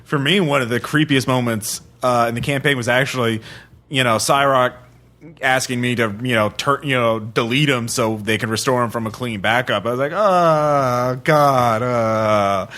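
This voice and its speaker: A man's voice: mid-range voice, normal speed, fairly bright tone. A man chatting informally and with some swearing, as a tabletop gaming podcast host. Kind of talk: casual chat.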